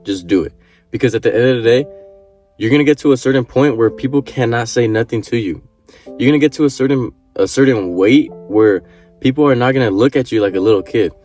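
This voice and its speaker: A man's voice: medium pitch, a little fast, a little mellow, faint music behind. Earnest young man urging viewers on. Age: 20s. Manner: earnest, repetitive urging, swears casually. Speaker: vlogger. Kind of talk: motivational casual talk to camera.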